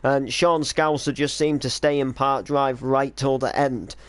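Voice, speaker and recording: Informal rambling man, smooth clear voice. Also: mid-range voice, fairly even intonation, unhurried, dry recording. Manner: informal, rambling, explanatory storytelling. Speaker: streamer, creator and voice actor of an online drama series. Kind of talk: casual reminiscing commentary on a stream.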